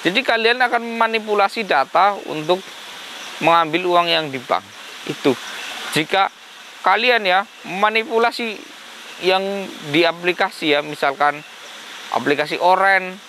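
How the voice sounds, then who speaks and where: A man's voice: high voice, moderate pace, pauses often, pushed and bright, a little hiss or hum, low-quality recording. A man with a slight cold talking casually to viewers as a host, often breaking into religious exclamations. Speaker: paranormal channel host.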